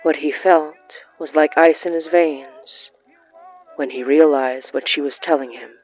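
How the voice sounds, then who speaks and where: A narrator reading scripted period fiction in a measured, deliberate way with pauses. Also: medium pitch, steady tone, highs cut off. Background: background music.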